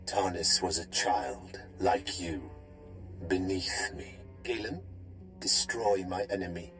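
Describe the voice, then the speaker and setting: Very deep, arrogant Sith lord. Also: male voice, a bit bright. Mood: contemptuous. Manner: menacing, haughty, deliberate. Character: arrogant cybernetic Sith lord, cold male villain. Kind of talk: video game villain acting. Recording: music behind the voice.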